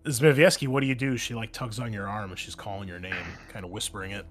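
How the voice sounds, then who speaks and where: A man narrating a tabletop roleplaying game as game master, describing scenes haltingly and correcting himself as he goes. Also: fairly low pitch, soft background music. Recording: clear background noise.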